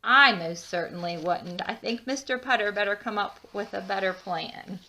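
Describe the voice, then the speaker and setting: A woman reading a children's storybook aloud with expressive, drawn-out emphasis and chatty asides. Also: medium pitch. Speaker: children's book read-aloud narrator.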